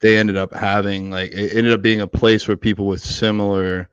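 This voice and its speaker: A man's voice: on the low side, level tone, moderate pace, a bit bright, smooth, no room sound, muffled recording. A man from the United States hosting a podcast, asking questions and encouraging his guest in casual talk. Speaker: podcast host.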